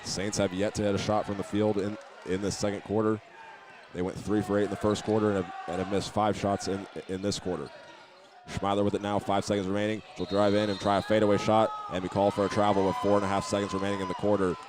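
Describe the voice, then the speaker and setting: An American man calling live high school basketball as a play-by-play radio announcer. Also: little pitch movement, fast, background noise. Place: indoor auditorium at a basketball game.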